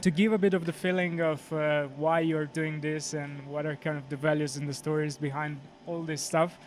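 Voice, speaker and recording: A male host asks quick, pointed questions and nudges his guest on with short replies. His voice sits a bit high. A faint hum runs under the recording. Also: flat delivery. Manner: brisk, encouraging, short prompts. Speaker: podcast host, interviewer. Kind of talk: interview questions.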